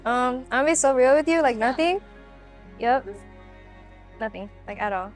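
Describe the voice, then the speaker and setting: A woman's voice: sits high, expressive, moderate pace, faint music behind. A young woman giving blunt, slangy answers in a documentary interview.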